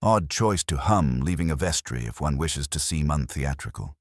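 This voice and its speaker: Man voicing a male police sidekick, very low pitch, dry recording, clipped, wry, audiobook mystery character. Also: animated, normal speed, no echo. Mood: wry, observant.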